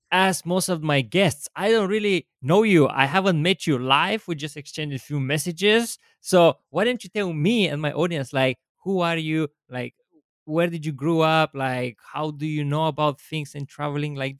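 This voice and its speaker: A man hosts a video call interview and asks his questions with eager enthusiasm. His voice sits somewhat high for a man, and the sound is close and dry with no room echo. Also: normal speed. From Bulgaria. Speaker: host. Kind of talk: casual interview conversation.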